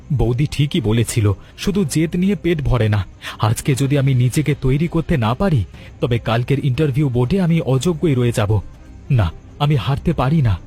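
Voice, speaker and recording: A young man's somewhat high, mellow voice from a male actor plays an anxious, lovesick cartoon hero. He speaks earnestly and emotionally, as in a melodrama. Faint music sits underneath. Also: natural voice. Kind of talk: dramatic cartoon voice acting.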